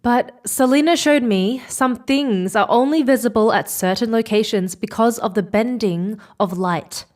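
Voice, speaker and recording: A woman's voice: on the high side, a little slow, hardly any pauses, a little mellow, lightly acted. A woman acting a determined, stubborn space explorer in a sci-fi audio drama, pleading and insistent. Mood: quietly resolute.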